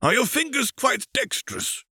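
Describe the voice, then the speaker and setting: A man's voice: extremely high pitch, very animated, moderate pace, gravelly, bright, forceful tone, slightly rough, no room sound, studio quality, voice pushed up, heavily acted. A man voicing a stately reptilian alien Jedi master in a fan fiction reading, speaking formally and courteously. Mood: courtly, formal.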